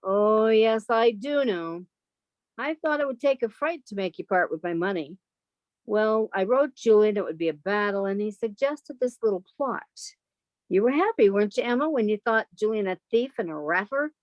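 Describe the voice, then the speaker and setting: A woman's voice: mid-range voice, animated, normal speed, dry recording, muffled recording, close to an everyday voice. A woman playing a resentful younger sister breaking free, cool and pointed in an old time radio drama style. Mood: coolly triumphant.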